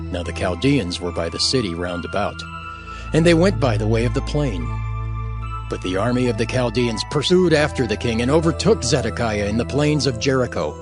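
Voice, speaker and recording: Solemn male scripture narrator over music. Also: medium pitch. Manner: measured, solemn, phrase by phrase.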